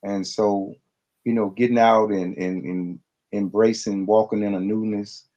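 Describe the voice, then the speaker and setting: A man speaking reflectively in a podcast conversation, halting and earnest, with frequent pauses. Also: lowish voice, flat delivery, warm tone, dull audio. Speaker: podcast guest.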